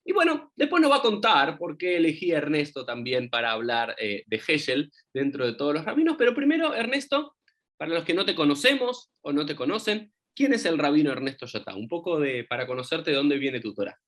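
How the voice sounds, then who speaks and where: A man's voice: fairly high pitch, a little fast. A man hosting a podcast interview, introducing his guest and asking questions. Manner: warm introductions, animated questions. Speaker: podcast host, rabbi.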